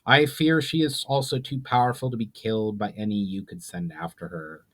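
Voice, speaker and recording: A man's voice: on the low side, moderate pace, fairly bright tone. A man voicing a courteous, earnest elf envoy with formal wording in a fantasy story. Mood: grave.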